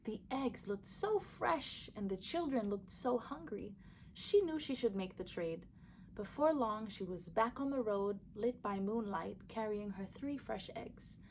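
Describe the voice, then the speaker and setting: A woman reading a folktale aloud for children, lively and shifting her voice for each character. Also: medium pitch, measured pace, a little hiss or hum. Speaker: storyteller. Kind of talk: storybook read-aloud for children.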